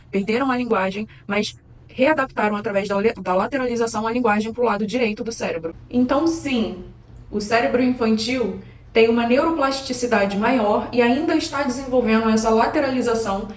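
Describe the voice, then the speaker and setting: A woman with a Brazilian Portuguese accent, an English teacher explaining a topic in a calm, measured video lesson. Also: a little high, echoey room, low-quality recording.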